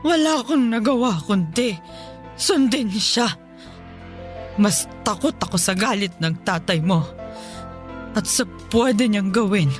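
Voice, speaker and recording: Bitter invalid mother played by an actress, slow reproachful delivery, radio drama, music underneath. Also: medium pitch, animated. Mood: bitter, anguished. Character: bitter, resentful partly paralysed mother, cruel to her child. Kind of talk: Tagalog radio drama acting. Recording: music bed behind the voice.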